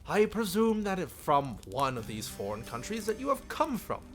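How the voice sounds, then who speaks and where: Guy putting on a young princess for a visual novel, highish voice, airy n proper, kinda sheltered, soft music on. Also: wildly swooping pitch, normal speed. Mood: friendly. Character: cheerful young princess, proud yet friendly and sheltered. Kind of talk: visual novel character read-aloud.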